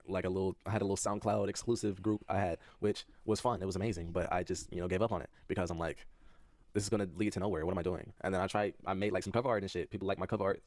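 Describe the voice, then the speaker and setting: Brief: young creator in his twenties, a man with a lowish voice who rushes his words, dry close sound. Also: dry recording. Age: 20s. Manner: soft-spoken, self-doubting, laughs nervously. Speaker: content creator in a clip being reacted to. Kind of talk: candid personal talk to his audience.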